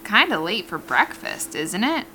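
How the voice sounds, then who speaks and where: A woman's voice: medium pitch, sing-song, a little slow, bright, forceful tone, a little hiss or hum. A woman playing a brassy, teasing, folksy diner waitress in an audio drama, familiar in manner. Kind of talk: naturalistic audio drama acting.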